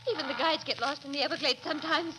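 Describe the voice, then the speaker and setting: An actress as a very high-pitched, overwrought young woman. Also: quick pace, lightly acted. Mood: hysterical dread. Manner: fretful, melodramatic, overwrought. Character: frightened, hysterical young wife turned accomplice in murder. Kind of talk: 1940s radio drama acting. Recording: noisy old 1940s radio recording.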